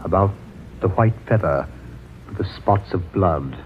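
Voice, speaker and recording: An actor playing a smug, menacing fortune-teller who speaks deliberately and ominously in a vintage horror radio drama. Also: male voice, deep voice, expressive. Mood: ominous calm. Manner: deliberate, ominous, mocking chuckles. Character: sinister male fortune-teller, smugly menacing and unhurried. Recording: muffled, noisy vintage radio recording.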